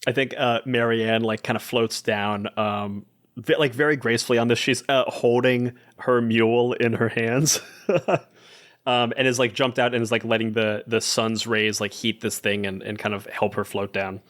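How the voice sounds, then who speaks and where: A man casually narrating as a player in an improvised tabletop game, loose and halting. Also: mid-range voice, flat delivery, fairly bright tone. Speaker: podcast guest playing a tabletop game.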